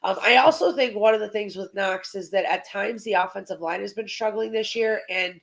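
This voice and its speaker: A woman's voice: medium pitch, fairly quick, gravelly. A woman hosting a casual football preview podcast, chatting and asking questions, with a slightly congested voice. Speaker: sports podcast host.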